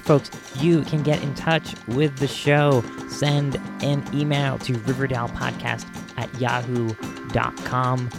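A man hosting a podcast, talking casually and directly to his listeners. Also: mid-range voice. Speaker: podcast host. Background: music.

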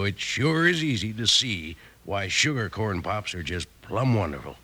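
A man's voice: fairly low pitch, bright, forceful tone, breathy edge, heavily acted. An American man playing a jolly, folksy cowboy selling goods in an old radio advertisement, exuberant in manner. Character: jolly, folksy male cowboy cereal pitchman.

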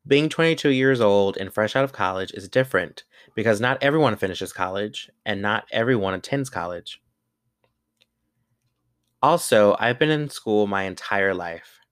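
A man in his twenties reads his own essay aloud, then reflects on it in a relaxed, candid way with frequent fillers. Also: lowish voice, dry recording, studio quality. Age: 20s. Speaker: vlogger.

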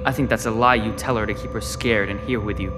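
An actor playing a stubborn, defiant teenage captive in a sci-fi audio drama, with terse, confrontational delivery. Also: male voice, lowish voice, fairly even intonation, fast talker, lightly acted. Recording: long hall reverb under prominent music.

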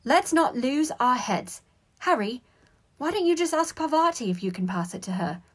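Woman playing a bossy, high-pitched teenage schoolgirl. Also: lively intonation, normal speed, slightly rough. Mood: brisk, managing. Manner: snappy, emphatic, know-it-all. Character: bossy, exasperated teenage schoolgirl, sharp and scolding.

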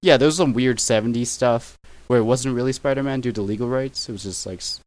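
A man's voice: mid-range voice, fast, a little mellow, dry recording. A young man in his twenties, a podcast guest on a video call, talking in a rambling, self-correcting way and laughing easily. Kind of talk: casual chat over video call.

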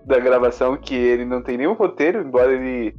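A young man in his twenties answers interview questions on a casual podcast, candid and a little nervous. His voice sits at an ordinary middle pitch. The audio is slightly dull, with faint music underneath. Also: fast. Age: 20s. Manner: relaxed, candid, a bit nervous. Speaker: podcast co-host, interview guest. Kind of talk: casual chat.

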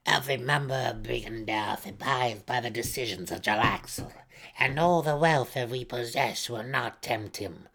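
A man's voice: medium pitch, gravelly, a bit bright. Man putting on a cold, haughty matriarch. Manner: slow, haughty, condescending delivery. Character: cold, sly female matriarch, imperious and scheming. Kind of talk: audiobook character voice.

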